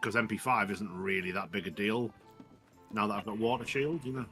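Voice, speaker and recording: A man with a low, bright voice chats about a game, laid-back and given to laughing. He fills his talk with "like" and "you know". Faint music sits in the background. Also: a little fast. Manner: relaxed, laughing, many "like"s. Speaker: gamer in voice chat. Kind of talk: casual gaming chat.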